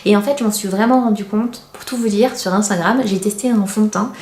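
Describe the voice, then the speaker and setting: Woman, thirties, beauty vlogger, warm dark tone, casual chatty review, self-deprecating, roomy echoing sound. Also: medium pitch, brisk. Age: 30s. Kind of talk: casual product review to camera. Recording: roomy, echoey indoor sound.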